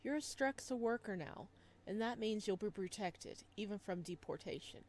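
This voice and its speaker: A woman voicing a calm, reassuring man who recruits newcomers in a visual novel, in a measured manner. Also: mid-range voice, close to an everyday voice. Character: calm, reassuring male recruiter showing newcomer around, Dima.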